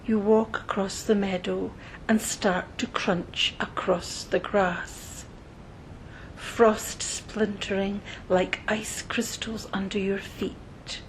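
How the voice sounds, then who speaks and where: Very slow-speaking woman guiding meditation. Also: little pitch movement, low-quality recording. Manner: soothing, measured, pausing between short phrases. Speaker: meditation guide. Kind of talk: scripted guided meditation narration. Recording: noticeable low rumbling background noise.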